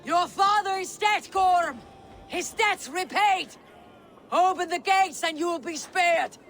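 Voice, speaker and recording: An actor playing a proud, vengeful young Viking warrior in a video game, with forceful, declamatory delivery. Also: male voice, very high voice, slow pace, exaggerated voice. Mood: fierce, commanding. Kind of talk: dramatic video game acting. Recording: loud music over the voice.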